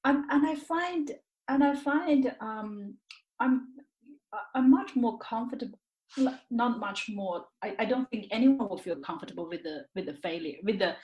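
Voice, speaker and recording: A woman's voice: fairly high pitch, normal speed, darkish tone, muffled recording. A woman speaking as an interview guest, reflective and candid, often repeating words and phrases as she searches for the right ones. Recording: roomy, echoey sound.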